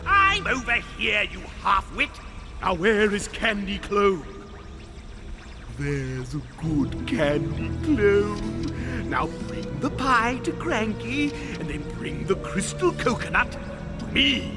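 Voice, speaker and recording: Cartoon villain: vain, hot-headed lizard monarch, theatrical, slow grandiose delivery, loud music behind. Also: male voice, high-pitched, big pitch swings, heavily acted. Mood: scheming, smug. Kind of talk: exaggerated cartoon voice acting.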